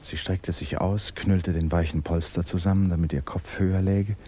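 Male narrator for 1960s radio play: very low, dark voice, literary reading, faintly noisy rumbling tape. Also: steady tone, normal speed. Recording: old radio recording with hiss, rumble.

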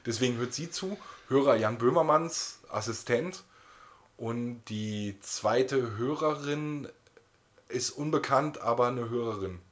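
A man's voice: medium pitch, slow pace, fairly bright tone. A man co-hosting a podcast in casual, rambling banter with a wry touch. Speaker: podcast host.

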